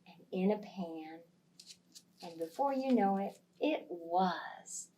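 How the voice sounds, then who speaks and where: A woman telling a story aloud to young children, with expressive, dramatic delivery and emphasis on key words. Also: lowish voice, takes it slowly, distant mic, a little hiss or hum.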